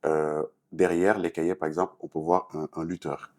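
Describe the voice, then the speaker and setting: Senegalese guy with a really deep low voice, talking through interview answers, earnest and explaining stuff. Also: level tone, moderate pace, a little mellow. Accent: Senegalese French. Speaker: talk show guest, artist and activist.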